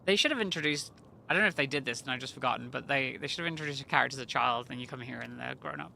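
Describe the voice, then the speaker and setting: A man's voice: mid-range voice, rapid-fire, gravelly, sharp tone, slight background noise. A man streaming a game and chatting over it with joking asides. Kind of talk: live game stream commentary.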